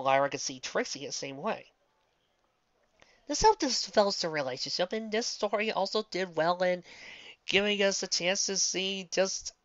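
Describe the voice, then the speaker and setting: A woman's voice: on the low side, no room sound. A woman giving a casual, chatty review to an audience, speaking emphatically with frequent restarts. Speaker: fan fiction reader and reviewer. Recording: dull lo-fi dry close recording.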